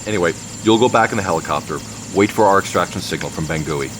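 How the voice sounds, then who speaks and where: Man playing a deep, brooding rebel leader. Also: quick pace, lightly acted. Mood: grim, defiant. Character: brooding male rebel leader on a jungle mission. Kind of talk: audio drama acting. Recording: noisy helicopter din behind voice.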